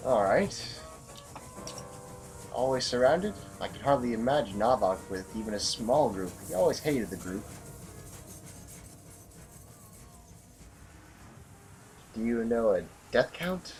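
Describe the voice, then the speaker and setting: A man's voice: mid-range voice, very animated, normal speed, a little mellow, low-quality recording. Man acting a grim, blunt general over music. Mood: subdued. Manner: blunt, commanding, brooding. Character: grim, stern, disillusioned military general. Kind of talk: audio drama acting.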